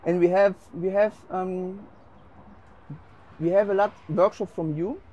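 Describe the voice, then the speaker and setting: Interviewer, male, German native speaker, slightly higher pitch, hesitant English. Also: steady tone, muffled recording. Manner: halting, searches for English words. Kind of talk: festival interview.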